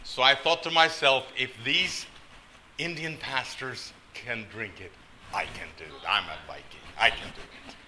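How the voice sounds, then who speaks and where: A man's voice: medium pitch, unhurried, bright, forceful tone, a little hiss or hum. An older man from Norway preaching a sermon, telling stories with folksy, self-deprecating humor. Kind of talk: sermon with storytelling.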